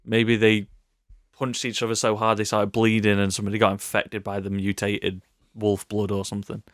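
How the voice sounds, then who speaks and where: Casual male co-host, fairly deep pitch, joking conversational delivery, dry sound. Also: monotone, normal speed, dry recording. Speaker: podcast co-host. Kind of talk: casual film and TV chat.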